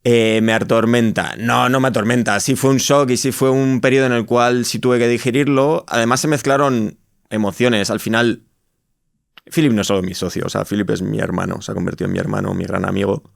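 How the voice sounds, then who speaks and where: A man's voice: mid-range voice, steady tone, a little fast, creaky, no room sound, studio quality. A man with an accent from Spain, telling his own story reflectively as an interview guest, often rephrasing himself. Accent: Spanish from Spain. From Spain (Madrid). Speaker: interview guest, startup co-founder. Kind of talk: conversational personal storytelling in an interview.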